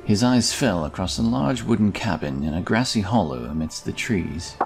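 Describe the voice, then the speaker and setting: A man's voice: low voice, expressive, normal speed, vocal fry. A man narrating a scripted fantasy story as its storyteller. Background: music.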